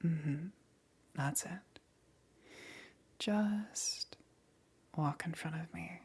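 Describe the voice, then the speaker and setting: A woman playing a powerful demon queen, gentle yet calmly menacing, in a breathy whispered ASMR roleplay with long pauses. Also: sits low, a little hiss or hum, full character voice. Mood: soothing, teasing. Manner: slow, soft, coaxing, with long pauses.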